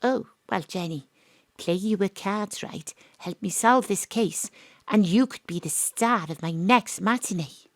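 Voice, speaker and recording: An actress playing a wry, cocky woman detective who narrates her case in a deadpan, confiding audio drama style. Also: mid-range voice, a little slow, dry recording, lightly acted. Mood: conspiratorial.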